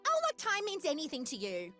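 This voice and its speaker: A woman's voice: sits high, huge pitch swings, a little fast. An actress playing a sarcastic young woman teasing a friend in a video game. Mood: wry teasing. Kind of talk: game character banter. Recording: game clip with music underneath.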